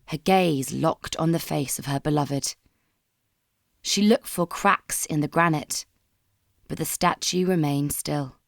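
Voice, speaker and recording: Low-voiced woman narrating dramatic fiction. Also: slow, creaky, dry recording, studio quality. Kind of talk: scripted dramatic story narration.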